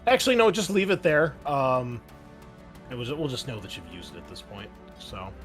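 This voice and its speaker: A relaxed man hosts and runs a tabletop game, explaining rules and narrating the action in a mid-range voice. He laughs easily and fills his pauses with ums and restarts. Faint music plays behind him. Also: medium pitch. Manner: easygoing, laughs often, many ums and restarts. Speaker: game master and host. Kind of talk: running a tabletop game online.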